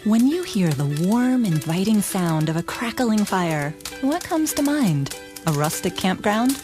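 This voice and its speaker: Female commercial narrator, medium pitch, scripted radio spot with a clear music bed. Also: very animated, a little slow. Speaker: commercial voice-over announcer.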